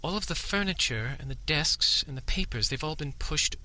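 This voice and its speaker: A man's voice: on the high side, expressive, normal speed, sharp tone, slight background noise, low-quality recording. A man playing a wry, chatty, inquisitive goblin sidekick with keen senses in an audio drama. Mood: uneasy.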